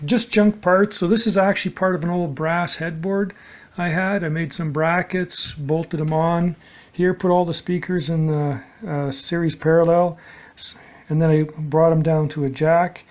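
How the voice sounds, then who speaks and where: A man with a fairly high-pitched voice talks through a homemade project. His delivery is unscripted and halting, with a matter-of-fact manner and phrases that tend to trail off. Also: fairly even intonation. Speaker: DIY hobbyist presenter. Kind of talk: casual unscripted project demonstration.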